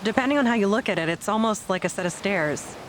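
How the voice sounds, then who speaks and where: A woman's voice: mid-range voice, expressive, quick pace, slight background noise, close to an everyday voice, low-quality recording. A woman playing an earnest, upbeat young adventurer in an audio drama, with natural dialogue delivery. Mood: bright, wondering.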